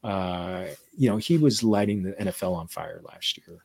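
A man's voice: low voice, normal speed, gravelly, a little mellow, husky, no echo. A man hosting a podcast, chatting casually, slipping Spanish words into his English between frequent fillers. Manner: mixes Spanish phrases into English, many fillers. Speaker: podcast host. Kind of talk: casual interview chat.

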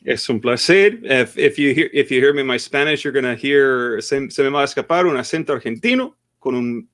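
A man from Arizona, a guest on a podcast, explaining football in long, steady, analytical sentences. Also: mid-range voice, fairly quick, dry recording, studio quality. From Arizona, USA. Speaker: podcast guest, sports site manager. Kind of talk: casual interview answers.

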